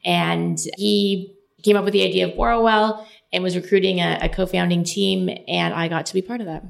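A woman in her forties, hoarse, answering interview questions in a measured, explanatory way. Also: fairly low pitch, steady tone. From Canada. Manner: measured, explanatory, laughs easily. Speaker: guest, startup co-founder.

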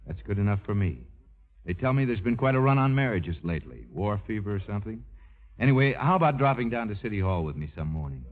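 An actor playing a breezy, wry, devoted suitor and manager in a 1950s radio drama. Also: male voice, lowish voice, sing-song, fast. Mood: earnest, wooing. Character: wisecracking devoted suitor and manager, self-deprecating American man. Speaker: actor and narrator. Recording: tinny, band-limited 1950s radio recording.

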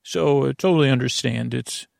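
A man hosting a podcast with a meandering, halting, digressive bedtime monologue. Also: mid-range voice, gravelly, darkish tone, dry recording, studio quality. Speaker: podcast host.

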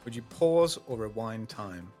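A man with a lowish voice hosting a podcast chat. He asks his questions in an easy, encouraging way with plenty of fillers. Faint music plays behind him. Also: animated, a little slow, warm tone. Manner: encouraging interviewer, many fillers like "you know". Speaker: podcast host. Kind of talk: casual interview chat.

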